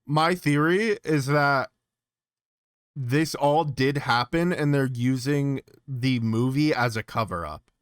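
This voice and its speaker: A man's voice: medium pitch, slow pace, clear, resonant tone, no room sound, clean studio sound. A man doing casual reaction commentary as a streamer, thinking aloud and reading text off the screen. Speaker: streamer reacting to an analog horror series.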